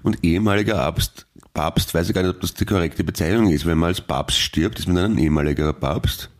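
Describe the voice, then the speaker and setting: A man's voice: deep voice, a little fast. A man with an Austrian German accent co-hosting a podcast, stammering and arguing with dry humor. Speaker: podcast co-host. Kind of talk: casual banter.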